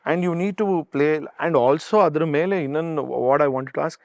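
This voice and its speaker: A man's voice: on the high side, rapid-fire, no room sound, studio quality. A man, hosting a talk show and asking curious, probing questions. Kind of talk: casual interview chat.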